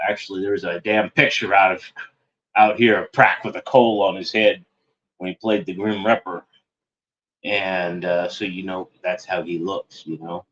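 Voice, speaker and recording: A man's voice: low voice, fairly bright tone, highs cut off. A man hosting an internet show, delivering a meandering, sardonic monologue that keeps correcting itself. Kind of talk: rambling monologue commentary.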